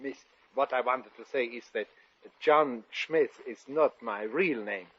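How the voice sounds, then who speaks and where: An actor playing an earnest, devoted German immigrant bridegroom with a German accent and formal, halting phrasing in 1950s radio comedy. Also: male voice, medium pitch, a bit bright, husky. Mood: agitated, indignant. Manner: formal, inverted foreign phrasing, halting when flustered. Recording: dull, lo-fi old radio recording.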